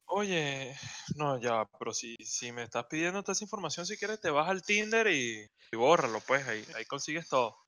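Venezuelan man, podcast co-host; smooth bright tone, deadpan humor. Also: a little high, moderate pace, no room sound. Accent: Venezuelan Spanish. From central Venezuela. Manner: dry, deadpan joking. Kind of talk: casual podcast chat.

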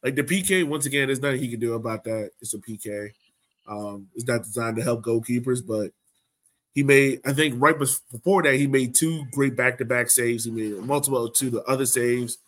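A man's voice: mid-range voice, brisk, a bit bright. A man hosting a sports podcast, chatting casually and informally. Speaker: podcast host.

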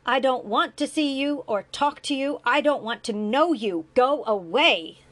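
A woman's voice: high voice, a little slow, fairly bright tone, voice pushed up. A woman voicing a bitter, exhausted young man who confronts his father with mounting anger, in a read-aloud story. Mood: furious. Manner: sardonic, rising to anger.

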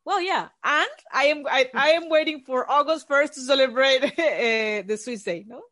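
A woman's voice: high voice, normal speed, a bit bright. A woman from Mexico with a Mexican accent and native Spanish, talking casually and emphatically as an interview guest.

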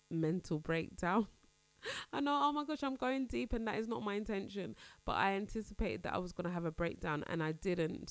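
A woman's voice: low-pitched, no room sound. A woman in her thirties hosting a casual podcast, chatting candidly with a congested, nasal voice as she recovers from a cold. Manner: chatty, candid, rambling with restarts. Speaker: podcast host. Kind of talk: casual personal chat.

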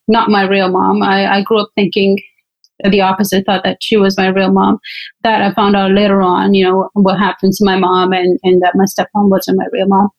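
A woman's voice: mid-range voice, poor audio. A woman from Pakistan, a guest on a podcast, recounting her life in a conversational way with frequent fillers. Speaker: interview guest. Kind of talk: personal storytelling in an interview.

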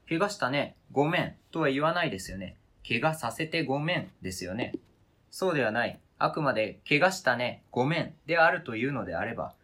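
A man's voice: medium pitch, a bit bright, slightly rough. A man acting in a radio drama reading as a wounded, indignant adult who argues with cold, pedantic logic and interrogates relentlessly. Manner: pedantic, interrogating, formal argumentative phrasing.

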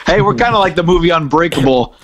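A man's voice: a little high, lively intonation, fast, fairly bright tone, no echo. A man co-hosting a podcast with casual comedic banter. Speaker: podcast co-host.

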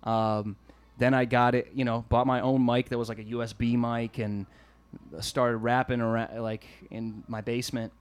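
A man's voice: medium pitch, moderate pace, clear, resonant tone. Easygoing young man telling stories. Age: 20s. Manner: relaxed storytelling with many fillers. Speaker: guest musician. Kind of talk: casual podcast chat.

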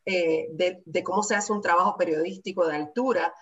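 A woman from a chamber of commerce, speaking warmly and emphatically about an award. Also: on the low side, fairly quick, recorded from a distance, highs cut off. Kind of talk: introductory praise.

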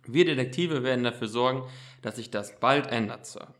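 A man's voice: mid-range voice, moderate pace, a bit bright, close to an everyday voice. A man playing an eager, self-assured boy detective who takes charge, in an amateur German radio play. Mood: curious.

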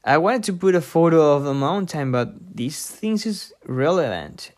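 A podcast host giving an informal lesson from a book, thinking aloud haltingly and repeating difficult words. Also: mid-range voice, big pitch swings, a little mellow. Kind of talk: informal lesson read from a book with personal asides.

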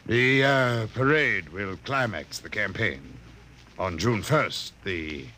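A slow, secretive formal agent. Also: male voice, on the low side, animated, pushed and bright, exaggerated voice. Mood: measured. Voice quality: slow, precise, formal diction. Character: mysterious formal male client agent, secretive. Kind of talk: 1950s radio drama acting. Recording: old radio broadcast with faint hiss.

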